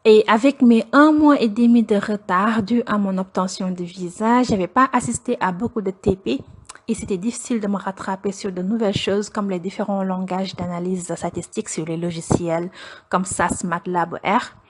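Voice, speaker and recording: A woman's voice: medium pitch, fairly even intonation, normal speed. A young woman from Senegal, a doctoral student, telling the story of her studies in an interview in an earnest, storytelling way. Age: young adult. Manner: earnest, storytelling with light laughs. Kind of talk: personal account in an interview.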